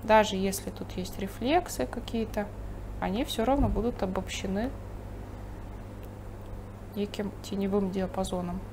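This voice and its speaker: A woman's voice: medium pitch, measured pace, pauses often, background noise. Woman painter talking through her work. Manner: thinking aloud while painting, calm and explanatory. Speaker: painting instructor. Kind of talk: painting demonstration with commentary. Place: studio table, overhead camera on the painting.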